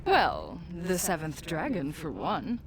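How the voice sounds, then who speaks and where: A woman's voice: low-pitched, huge pitch swings, takes it slowly, background noise, exaggerated voice. A woman voicing a sly, seductive demon companion in a fantasy game, teasing and sardonic as she insinuates things. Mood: coy. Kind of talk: fantasy video game acting. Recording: game sound effects behind the voice.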